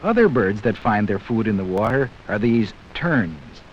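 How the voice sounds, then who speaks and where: Hissy vintage film narrator, lively man. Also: fairly low pitch. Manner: measured, explanatory, addressing young viewers directly. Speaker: narrator of an educational nature film. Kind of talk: 1960s educational film narration, scripted. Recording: dull, hissy 1960s 16mm film soundtrack.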